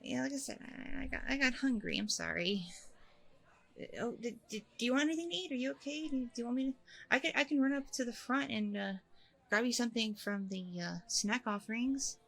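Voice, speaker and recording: A woman's voice: fairly high pitch, a little fast, creaky, a bit bright. A woman playing a chatty, apologetic blind date in an ASMR audio roleplay, rambling along casually and a little hesitantly. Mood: sheepish, apologetic, then caring, chatty.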